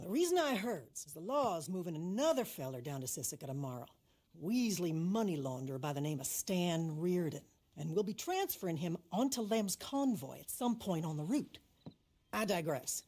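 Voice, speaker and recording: A woman's voice: on the low side, darkish tone, husky. An actress playing a shrewd, commanding older woman running moonshine, with an American Southern accent and a dry, folksy, plainspoken manner in a game cutscene. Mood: urgent, resolute. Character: tough, vengeful older female moonshine boss, shrewd and commanding. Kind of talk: cinematic game dialogue.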